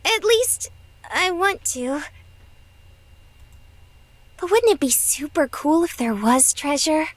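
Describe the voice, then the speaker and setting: A woman's voice: high-pitched, big pitch swings, takes it slowly, pauses often, dry recording, full character voice. A woman playing a naive, cheerful, hopeful young treasure hunter in a visual novel, earnest and girlish. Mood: hopeful, excited.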